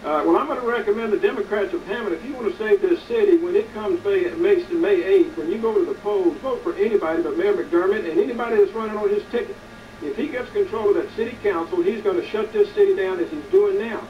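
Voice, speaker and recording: A man's voice: sits high, fast. A man, a community activist hosting a local show, gives an indignant, preachy commentary with many hesitations. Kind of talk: editorial commentary to camera. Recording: distant, dull, slightly noisy recording.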